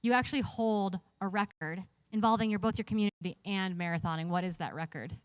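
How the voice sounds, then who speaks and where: Woman, interview host, smooth clear voice, dry close sound, casual, rambling, joking. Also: medium pitch, a little fast, dry recording. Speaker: host interviewing runners on stage. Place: live event stage.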